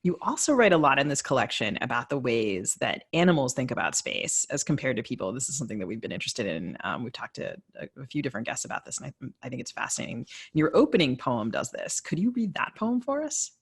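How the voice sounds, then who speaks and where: A man interviews a guest as a podcast co-host. His pitch sits in the middle for a male voice. He talks casually and frames his questions conversationally. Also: big pitch swings, quick pace, hardly any pauses, a bit bright, breathy edge.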